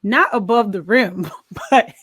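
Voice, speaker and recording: A woman's voice: mid-range voice, slow pace, noisy background. A woman co-hosting a casual film review chat, talking in an informal, slangy, conversational way. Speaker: podcast co-host.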